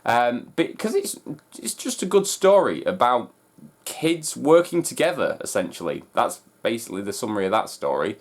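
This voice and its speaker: A man's voice: medium pitch, big pitch swings, moderate pace. A man hosting a fan reaction video, talking casually with enthusiastic, emphatic delivery. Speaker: host of a fan reaction channel. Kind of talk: excited trailer reaction and casual breakdown.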